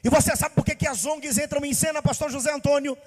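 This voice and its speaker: Brief: man from Bahia, pastor, very high voice, impassioned sing-song preaching, long hall reverb. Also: steady tone, quick pace. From Bahia, Brazil. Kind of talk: sermon.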